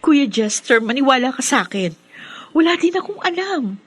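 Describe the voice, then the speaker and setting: A woman's voice: high voice, very animated, moderate pace, slightly rough, full character voice. A woman acting an earnest, bewildered young woman in a Filipino radio drama.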